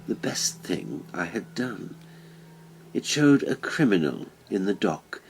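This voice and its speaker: A man narrating a classic horror story in a measured, deliberate, dramatic way with weighty pauses. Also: low voice, slightly rough, rough recording. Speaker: audiobook narrator and podcast host. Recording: music behind the voice. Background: music.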